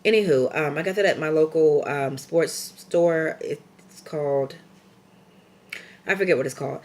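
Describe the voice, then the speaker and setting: A young woman with a scratchy, raspy voice from a lingering cold, talking casually in a rambling vlog update full of ums and asides. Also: sits low, unhurried, a little mellow. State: recovering from a cold. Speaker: vlogger.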